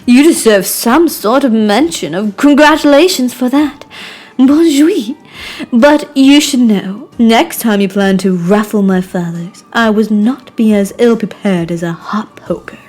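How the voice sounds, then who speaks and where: A woman's voice: fairly high pitch, measured pace, darkish tone. A woman voicing a haughty, theatrical aristocratic lady who peppers speech with French endearments, for an amateur game. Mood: amused menace. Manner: imperious, grandiose.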